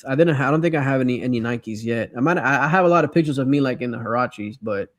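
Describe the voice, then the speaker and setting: A man's voice: mid-range voice, fast, fairly bright tone, dry recording. A middle-aged American man from Miami chatting casually and nostalgically in slang as a livestream host. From Miami, United States. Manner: slangy, conversational. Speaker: livestream host reading viewer comments.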